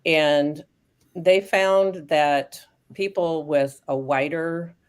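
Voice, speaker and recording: A woman speaking as a guest expert on aging dogs, explaining research in a thoughtful, casual way with frequent pauses and restarts. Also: low-pitched, fairly even intonation, clear, resonant tone. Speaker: guest expert on canine cognitive decline.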